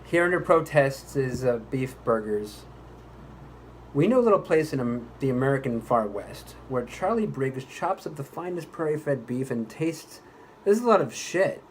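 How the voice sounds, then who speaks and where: A man's voice: medium pitch, expressive, normal speed, slight background noise, lightly acted, rough recording. A man playing a pompous, exasperated commercial voice actor at a recording session, grandiose, indignant and condescending. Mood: exasperated, scornful. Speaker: voice-over actor. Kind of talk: re-enacted commercial recording outtake.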